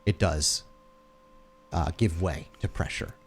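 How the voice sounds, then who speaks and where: A man working as game master, narrating a tabletop roleplaying scene in a deliberate, descriptive and dramatic way. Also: low-pitched, slightly rough.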